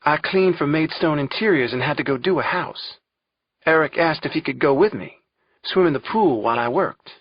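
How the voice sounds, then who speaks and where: A man performs a frightened young woman giving testimony in an audiobook courtroom drama. The voice is raised high, thin and girlish, with a husky edge. Delivery is halting and anguished. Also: expressive, no echo, lo-fi sound. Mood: timid, faint.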